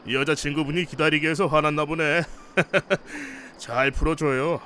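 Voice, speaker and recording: Male actor as chatty angler, fairly high voice, relaxed and teasing, visual-novel dialogue over faint night insects. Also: a little slow, slight background noise. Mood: amused, teasing. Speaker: supporting character in a visual novel. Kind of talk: acted casual dialogue.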